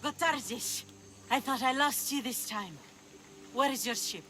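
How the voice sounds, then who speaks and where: A woman's voice: high-pitched, a little slow, bright, forceful tone, slight background noise. A proud pirate chief who covets treasure in a video game. She speaks in an imperious, formal way, over faint insect-filled ambience. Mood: alarmed, scolding. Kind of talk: video game cutscene dialogue acting.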